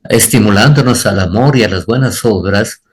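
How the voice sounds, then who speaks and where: A man's voice: lowish voice, moderate pace, husky. An older man, a pastor answering on a church panel, speaking earnestly and repeating phrases for emphasis.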